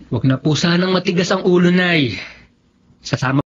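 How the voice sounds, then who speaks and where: An actor playing a devoted young son in a radio drama, respectful, earnest and determined. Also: male voice, high voice, normal speed, breathy edge. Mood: gently insistent. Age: young adult. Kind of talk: Tagalog radio drama acting. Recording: dull lo-fi audio, faint noise.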